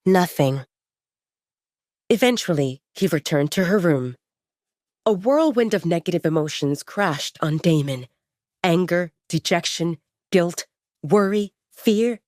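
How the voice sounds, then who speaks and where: A woman narrates dramatic fiction in a fairly low voice. The recording is dry, with no room around her, and the delivery is scripted audiobook storytelling. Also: lively intonation, slow, pauses often, darkish tone, no room sound.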